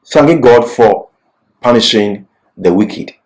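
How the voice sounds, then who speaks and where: A man's voice: mid-range voice, animated, takes it slowly, a little mellow. A man giving an expository Bible lecture as a teacher, didactic and emphatic, posing questions and answering them himself.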